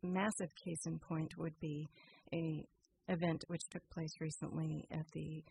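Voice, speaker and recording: A woman's voice: low voice, flat delivery, measured pace, creaky, a little mellow, a little hiss or hum, rough recording. A woman from a radio drama troupe, chatting casually in an interview. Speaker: radio players member. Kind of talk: casual conversation.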